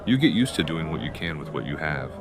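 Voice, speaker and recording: A man's voice: rumbling low, sing-song, a little fast, a crowd in the background. A man playing an easygoing, practical, friendly man with a casual manner in an audio drama. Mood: matter-of-fact. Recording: music playing behind the voice.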